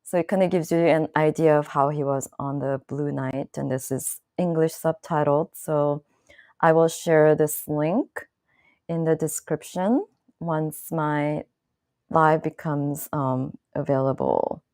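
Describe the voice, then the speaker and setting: A woman hosting her own channel, chatting casually to viewers in a slow, thoughtful way with many fillers and restarts. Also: low-pitched, fairly even intonation, darkish tone, no room sound, studio quality. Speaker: channel host. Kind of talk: casual live-stream talk to viewers.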